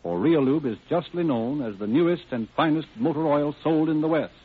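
A man's voice: on the high side, animated, fairly quick, slightly rough. A man narrating as the announcer of a 1930s radio drama, in a scripted style. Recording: tinny old radio, music behind. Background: music.

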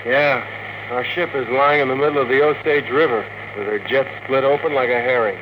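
A man's voice: medium pitch, fairly even intonation, a little fast, lightly acted. An actor playing a wisecracking spaceship crewman in a classic radio drama. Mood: wry. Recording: noisy old radio broadcast.

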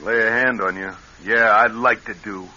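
A hard-bitten, sardonic ex-con with a very sharp, forceful edge to the voice. He speaks tersely and bitterly, in classic radio crime drama style, on a dull recording with faint hiss. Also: lowish voice, steady tone, moderate pace. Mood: resentful. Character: bitter hard-bitten male ex-convict, resentful and weary.

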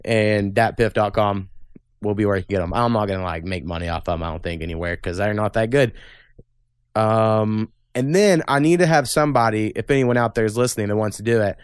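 A man's voice: fairly low pitch, brisk, clear, resonant tone, dry recording, studio quality. A young man hosting a casual podcast, talking bluntly and opinionatedly and swearing freely. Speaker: podcast host. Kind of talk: casual political chat.

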